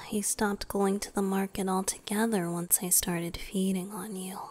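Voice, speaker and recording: A woman voicing a weakened, devoted male vampire boyfriend in an ASMR roleplay, speaking in a soft breathy whisper with a hesitant, intimate manner. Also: mid-range voice. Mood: tender, confiding.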